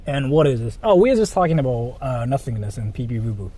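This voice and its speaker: A man's voice: medium pitch, big pitch swings, quick pace, mellow. A man livestreaming, chatting casually with viewers and reading donations in a rambling, joking way full of fillers. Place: in Japan.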